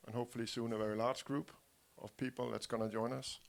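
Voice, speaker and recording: A man introducing himself on a panel. His voice is gravelly and barely moves in pitch, and he pauses often with fillers. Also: flat delivery. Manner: halting, with many fillers. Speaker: panel speaker. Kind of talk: self-introduction.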